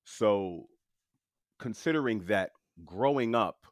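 Interview guest: New man, very low voice, unhurried and halting, lots of fillers, dry close sound. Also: no room sound. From Queens, New York City. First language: French. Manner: relaxed, halting, with many fillers and restarts. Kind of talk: casual storytelling.

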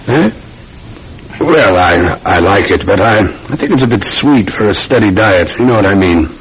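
An actor playing a steady English police sergeant in an old-time radio drama, speaking in a measured, restrained way. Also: male voice, lowish voice, close to an everyday voice. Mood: hesitant, polite. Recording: muffled, hissy old radio recording.

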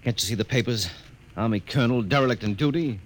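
A man's voice: medium pitch, quick pace, slight background noise, lightly acted. An actor playing a stern, anxious army colonel and father in a 1940s radio drama, blustering and worried.

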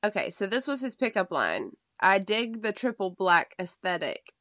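A woman's voice: mid-range voice, big pitch swings, moderate pace, fairly bright tone, no room sound. Chatty woman podcast co-host, dry sound. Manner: chatty, laughs easily. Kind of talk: casual podcast chat.

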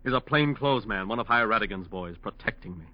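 Desperate male attorney played by an actor, low-pitched, clipped and defensive, vintage radio crime drama with dulled sound. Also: expressive, brisk, dry recording, close to an everyday voice. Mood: anguished, afraid. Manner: agitated, evasive, clipped. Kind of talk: 1940s radio drama acting. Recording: muffled old radio recording.

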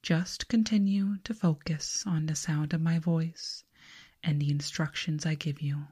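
A woman voicing a calm, commanding alien girl in a hypnosis ASMR roleplay, giving soothing, measured instructions in a breathy whisper. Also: low-pitched. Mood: calm, controlling.

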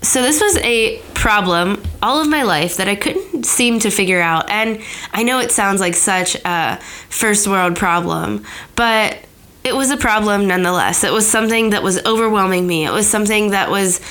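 A woman's voice: medium pitch, normal speed, a bit bright. A woman telling a candid, reflective personal story as a vlogger, sometimes acting out her old excuses in a lifted voice.

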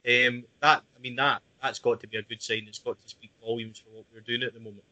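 A man's voice: mid-range voice, flat delivery, quick pace, sharp tone, rough recording. A man hosting a casual football podcast, steering the talk and bringing in each guest by name. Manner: leads the talk, hands over to guests by name. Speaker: podcast host.

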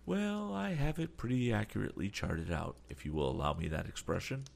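A man voicing a calm, overly polite sea captain. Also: lowish voice, a little fast, vocal fry, pitched above the performer's own voice. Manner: calm, deferential, adds apologetic asides.

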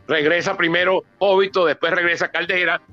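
A man's voice: sits high, steady tone, brisk, no room sound, highs cut off, soft background music. A Venezuelan man in his seventies giving an impromptu public talk on history, emphatic and repeating phrases for stress. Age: 70s. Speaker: author and politician presenting his book. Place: theatre book presentation.

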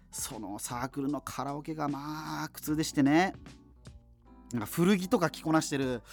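An actor playing a chatty, self-deprecating radio host who muses casually through listener letters, with music behind him. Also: male voice, medium pitch, natural voice. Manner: rambling, colloquial, musing asides.

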